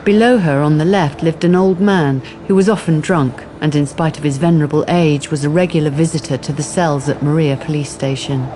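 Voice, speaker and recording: A woman's voice: low-pitched, a little fast, few pauses, mellow, slight background noise. A woman reading scripted narration for a radio drama. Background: music.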